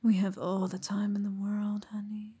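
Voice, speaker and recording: A woman whispering slowly and teasingly into a close mic, in an affectionate, intimate roleplay. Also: mid-range voice, completely flat delivery, mellow, slightly rough. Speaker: audio roleplay performer.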